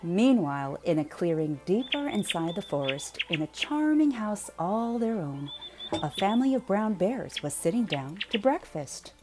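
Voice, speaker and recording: A woman reading a children's picture book aloud in a playful, expressive way, drawing out words for emphasis. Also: mid-range voice, normal speed, noisy background. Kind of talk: animated children's read-aloud narration.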